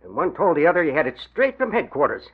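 Nervous, high-voiced henchman. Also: big pitch swings, fast, husky. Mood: anxious. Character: nervous, excitable male cab-driving henchman. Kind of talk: 1940s radio acting. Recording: tinny, band-limited old radio recording.

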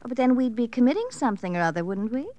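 A woman's voice: a little high, very animated, very fast, mellow, no echo, muffled recording. An actress playing an affected, playfully coy young society woman, breezy and gushing, in 1950s radio drama style. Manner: breezy, theatrical, arch, gushing.

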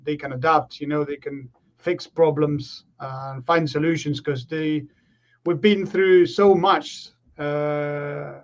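A man, his voice sitting a little high for a man. He answers in a relaxed, reflective way and pauses often on fillers. The remote call audio is slightly dull and lo-fi. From Argentina. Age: 30s. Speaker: guest, professional rugby player. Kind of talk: remote podcast interview.

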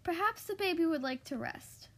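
A woman voicing a kindly, reassuring mother in a children's storybook, with slow, drawn-out phrasing. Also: very high voice, animated, a bit bright, pitched above the performer's own voice, heavily acted.

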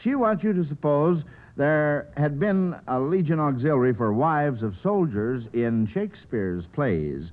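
A man's voice: a little high, very animated, unhurried. A man hosting a 1940s radio quiz show, who chuckles often and repeats his phrases. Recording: noisy, tinny old radio broadcast.

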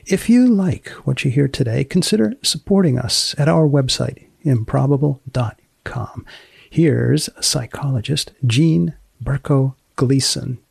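A man's voice: medium pitch, animated, unhurried, creaky, darkish tone, husky, no room sound. A man hosting a podcast, moving between scripted introductions and interview questions. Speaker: podcast host and magazine editor.

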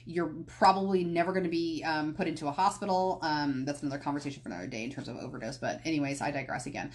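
A woman's voice: low-pitched, fast. A woman hosting a podcast on her own, talking candidly and rambling a little, often going off on tangents and correcting herself. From United States. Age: adult, past college. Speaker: podcast host. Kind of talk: casual solo podcast talk.

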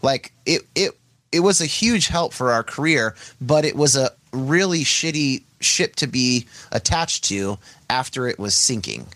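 A man, a band member guest, telling his band's history in casual, animated chat. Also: measured pace, no echo. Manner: animated, chatty, pitch jumps when quoting. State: has a head cold. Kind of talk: casual storytelling.

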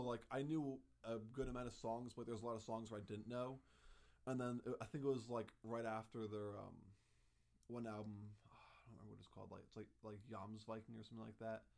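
A man's voice: medium pitch, gravelly. Young man telling a story, peppered with "like". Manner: chatty storytelling, heavy use of "like". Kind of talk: casual chat.

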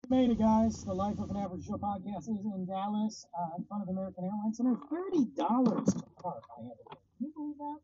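A man's voice: high-pitched, quick pace, few pauses, highs cut off, noisy background. A man hosting his own podcast, talking casually and rambling in a self-deprecating way from his parked car. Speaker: podcast host. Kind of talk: casual solo podcast chat.

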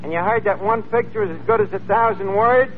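Male actor as brash tavern manager, New York accent, high-pitched voice, slangy malapropisms, 1940s radio comedy, tinny noisy recording. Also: a little fast, natural voice. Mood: breezy, bragging. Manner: slangy, mangles words and grammar. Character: brash, word-mangling wise-guy tavern manager.

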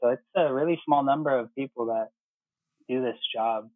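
A man's voice: mid-range voice, normal speed, no room sound. Man on a phone-like line, casual storytelling. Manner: conversational, retells remembered exchanges in quoted speech. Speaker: interview guest, air traffic controller. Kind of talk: casual personal storytelling in an interview.

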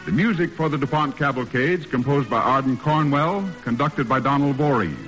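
A man's voice: mid-range voice, normal speed, a bit bright, rough recording. A man announcing a radio program in formal 1940s broadcast style. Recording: old radio broadcast with music behind.

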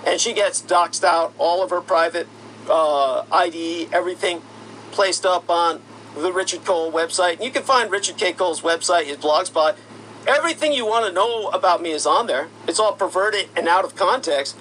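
A man with a notably high voice, talking in a long, aggrieved monologue. He sounds accusatory and indignant and keeps pushing up into sharper, louder bursts. There is a faint hum behind him. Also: moderate pace, poor audio. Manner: indignant, accusatory, rising to shouty outbursts. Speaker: commentator. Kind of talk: monologue rant.

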